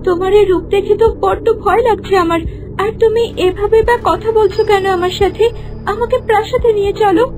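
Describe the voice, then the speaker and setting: A woman's voice: extremely high pitch, steady tone, a little fast. A woman playing a frightened, trusting young woman who speaks plaintively in a fairy-tale cartoon dub. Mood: alarmed, puzzled. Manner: plaintive, pleading. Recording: voice under loud background music.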